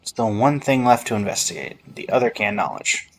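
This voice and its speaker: A man's voice: on the low side, very animated, moderate pace, slight background noise. A man giving casual gameplay commentary, reading dialogue aloud and adding offhand remarks. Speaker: game streamer.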